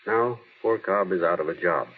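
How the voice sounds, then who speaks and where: A man's voice: low-pitched, normal speed, lightly acted. An actor playing a naive, earnest small-town man in an old-time radio drama, folksy and artless. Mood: mild, earnest. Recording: tinny, noisy vintage radio broadcast.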